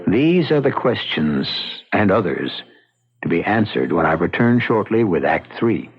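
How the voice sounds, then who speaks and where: A man hosting and narrating a radio mystery in a theatrical, portentous old-time style with deliberate phrasing. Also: low-pitched, big pitch swings, vocal fry, breathy edge, lo-fi sound. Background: music.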